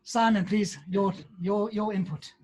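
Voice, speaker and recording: A man in his sixties hosting a wake, introducing speakers in a halting, gentle way. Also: high-pitched, steady tone. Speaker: host of a wake.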